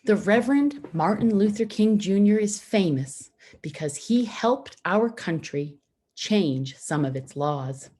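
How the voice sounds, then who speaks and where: A woman reading a children's picture book aloud, phrasing it simply and deliberately with pauses for young listeners. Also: medium pitch, animated, warm tone, slight background noise. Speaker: storybook reader for schoolchildren.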